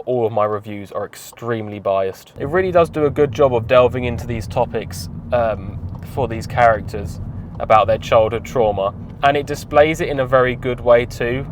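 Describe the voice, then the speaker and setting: A young man giving a casual, rambling film review with wry offhand asides, talking from a car. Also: medium pitch. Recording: road and traffic noise. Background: clear car and street noise.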